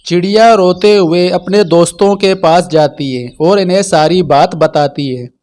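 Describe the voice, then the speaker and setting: A man with a fairly high voice narrates a children's tale. He reads from a script as the storyteller. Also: measured pace, a little mellow.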